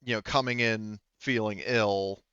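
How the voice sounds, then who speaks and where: A man with a smooth, clear, lowish voice acts as game master for a tabletop game. He explains in a casual, hedging, conversational way, recorded dry on a slightly muffled mic. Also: fairly even intonation, measured pace, bright, forceful tone, no echo.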